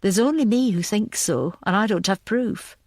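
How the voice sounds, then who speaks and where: A woman's voice: medium pitch, moderate pace, darkish tone, no room sound, studio quality, natural voice. A woman narrator playing a weary, tearful female police detective in an audiobook, self-blaming and defensive. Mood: frustrated, self-blaming.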